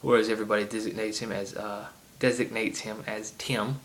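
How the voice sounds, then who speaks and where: A man's voice: lowish voice, little pitch movement, brisk. A man with a heavy Southern accent rambling through a casual game rant, full of ums and side tangents. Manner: chatty, many ums and asides. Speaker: gaming vlogger. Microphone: iPod camera.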